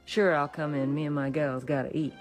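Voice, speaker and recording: A woman's voice: deep voice, steady tone, fairly quick, soft background music, natural voice. An actress in a radio drama playing a tough, sly, flirtatious female gang boss. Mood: wry, tough.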